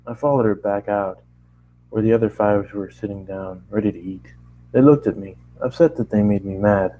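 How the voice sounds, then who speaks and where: A man's voice: fairly low pitch, normal speed, narrow, tinny audio, slight background noise. A man narrating first-person fan fiction in a conversational way, stumbling and re-reading lines as he goes. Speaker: amateur story narrator.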